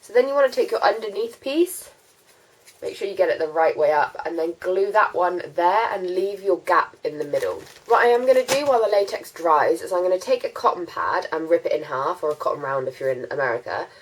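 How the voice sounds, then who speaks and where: A woman's voice: lowish voice, animated, brisk, a little hiss or hum, poor audio. Bunged-up young woman. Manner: chatty, many ums and likes. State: congested with a cold. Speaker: makeup tutorial host. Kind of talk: casual how-to chat.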